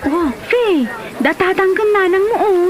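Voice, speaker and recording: A woman's voice: very high-pitched, measured pace, crowd noise. An actress playing a chatty, nosy village woman in an Ilocano comedy drama, recorded on noisy location. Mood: encouraging.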